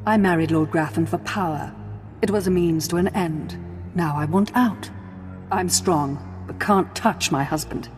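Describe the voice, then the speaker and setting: A woman's voice: on the low side, unhurried, a little mellow, close to an everyday voice. Imperious scheming noblewoman in a reverberant hall an actress. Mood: cold scheming. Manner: imperious, formal, dramatic. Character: proud, scheming Sith noblewoman and protective mother. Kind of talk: video game voice acting. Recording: long hall reverb, faint music.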